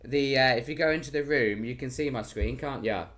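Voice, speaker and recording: A British man with a hoarse, worn voice, chatting casually as the host of a live stream. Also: mid-range voice, fairly quick, fairly bright tone. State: hoarse from losing his voice.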